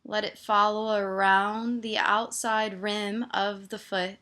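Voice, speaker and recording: A woman meditation teacher gently guiding a body scan, soothing and leaving pauses between her instructions. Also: medium pitch, little pitch movement.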